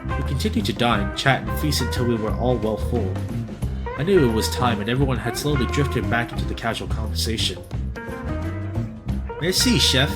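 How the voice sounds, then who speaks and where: A man's voice: medium pitch, expressive, a little fast, loud music behind the voice. A man narrating a story aloud as a let's play host, sometimes stumbling over a line and rereading it.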